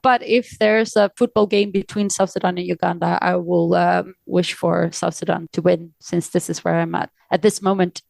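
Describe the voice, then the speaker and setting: A woman's voice: fairly low pitch, fairly even intonation, moderate pace, creaky, hardly any pauses, a little mellow, no room sound. A woman with a Swedish accent, answering reflectively as a podcast guest, with hesitant, searching phrasing. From Sweden. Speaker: podcast guest, aid organisation director. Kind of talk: reflective interview conversation.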